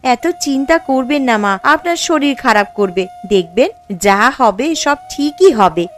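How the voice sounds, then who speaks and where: A woman voicing a caring young village wife in a cartoon, speaking in a soothing, gentle way. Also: high voice, animated, normal speed, soft background music.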